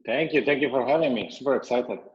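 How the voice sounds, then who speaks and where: A man in his thirties from Italy with an Italian accent, a guest on a video call interview, speaking in a measured way with many restarts. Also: medium pitch. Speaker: guest, company founder. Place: bright room at home with a window. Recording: dull, lo-fi call audio.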